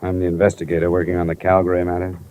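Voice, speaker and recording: A man's voice: low voice, monotone, very fast, slight background noise, lightly acted. An actor playing a blunt, hard-boiled male insurance investigator in a 1950s radio detective drama. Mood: stern. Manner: clipped, blunt. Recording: muffled vintage radio broadcast.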